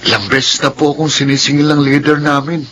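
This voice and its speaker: A man's voice: on the high side, little pitch movement, a little fast, a bit bright, muffled recording, slight background noise, natural voice. A male actor playing an earnest, worried schoolboy son in a Tagalog radio drama. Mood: sheepish.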